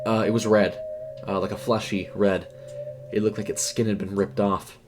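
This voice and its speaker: A man voicing a spooked, hesitant young fast-food worker in a horror story, stammering. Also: fairly low pitch, level tone, over music, natural voice. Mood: shaken.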